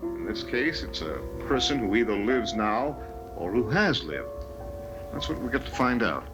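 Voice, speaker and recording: A man's voice: lowish voice, sing-song, normal speed. A man playing a reassuring, persuasive doctor and hypnotist in a 1970s community radio drama. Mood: explanatory. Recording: live 1970s radio recording, music behind.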